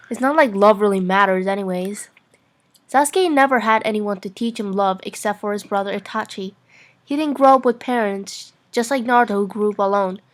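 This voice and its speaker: Young woman reading fan fiction aloud. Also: mid-range voice. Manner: playful, stumbling over lines, giggly. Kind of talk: amateur dramatic reading of a story.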